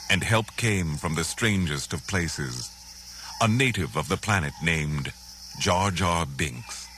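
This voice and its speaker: Deep-voiced man narrating a storybook. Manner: solemn, deliberate, authoritative. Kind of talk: scripted dramatic storybook narration. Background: music.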